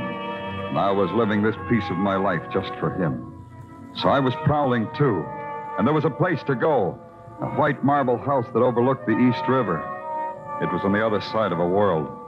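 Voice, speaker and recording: Weary night-shift cop male actor, low, sardonic noir delivery, muffled old radio sound with music underneath. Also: close to an everyday voice. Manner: brooding, clipped, sardonic. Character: weary hard-boiled New York night-shift police detective. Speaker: detective narrator and lead in a radio crime drama. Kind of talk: 1950s radio noir acting and narration.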